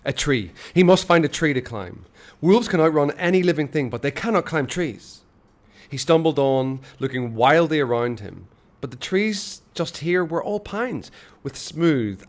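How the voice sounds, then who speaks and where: A man narrating a story as an expressive read-aloud, with measured, dramatic phrasing and pauses. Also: medium pitch. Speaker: storyteller reading a children's book aloud.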